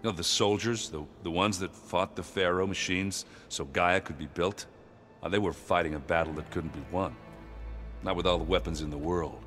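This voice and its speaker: A very low-voiced soldier in a video game, gruff but good-hearted. He talks like a joking bloke, self-deprecating and a bit hesitant, over clearly audible music. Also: fairly even intonation, moderate pace, a bit bright. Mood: reflective, sincere. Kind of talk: video game dialogue acting. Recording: prominent music behind the voice.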